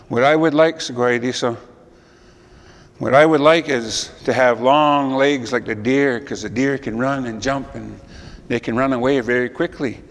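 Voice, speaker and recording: A man's voice: mid-range voice, in a large hall, natural voice. A man voicing an anxious female rabbit in a told folk story, asking earnestly for longer legs.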